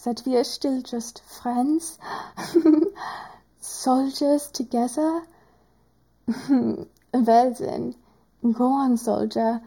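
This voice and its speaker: A woman voicing a teasing, doting woman doctor in an adult audio roleplay, speaking in a slow, whispery, drawn-out way. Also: fairly high pitch, steady tone, creaky, warm tone, breathy edge, exaggerated voice. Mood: sultry teasing.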